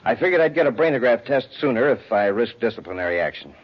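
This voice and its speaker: Earnest, husky young-officer type. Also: male voice, medium pitch, very animated, fast talker. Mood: troubled. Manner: intense, insistent. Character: earnest, shaken male space patrol lieutenant. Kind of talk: 1950s radio serial acting. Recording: dull 1950s radio recording.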